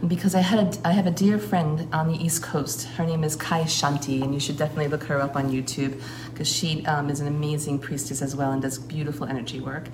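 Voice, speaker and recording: A woman with a low voice speaks earnestly and at length as a shamanic priestess. Her words flow and are broken by frequent filler pauses. The recording is roomy, with steady noise clearly audible behind her. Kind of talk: casual spoken account.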